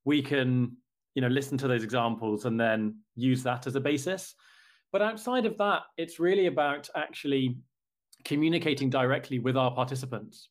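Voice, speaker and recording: A man answering interview questions about his company, in a measured, thoughtful way with occasional restarts. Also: mid-range voice. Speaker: company representative, guest.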